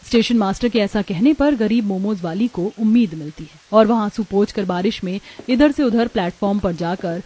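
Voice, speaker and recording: A woman's voice: medium pitch, normal speed, few pauses, warm tone, rough recording. A woman reading scripted narration for a story.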